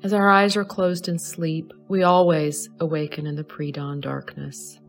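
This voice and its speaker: A woman with a slightly low voice leads a guided meditation. She speaks in short, soothing, measured phrases with pauses between them, over soft background music. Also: flat delivery. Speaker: astrology advisor leading a guided meditation. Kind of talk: slow guided meditation narration.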